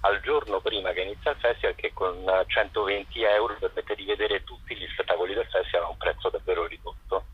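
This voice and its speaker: A man's voice: deep voice, fairly quick, few pauses, breathy edge, dull audio. A man answering interview questions as a festival director, explaining at length in a hesitant, discursive way.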